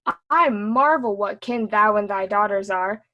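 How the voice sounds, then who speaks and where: A woman's voice: medium pitch, normal speed, lo-fi sound, lightly acted. A woman playing a witty, cheeky court jester in a Shakespearean reading, with a mocking, sing-song delivery.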